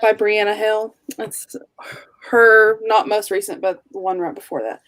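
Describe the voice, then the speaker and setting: A woman's voice: mid-range voice, unhurried, fairly bright tone, low-quality recording. A woman chatting casually and playfully teasing her friends on a book panel. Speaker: livestream book chat panelist.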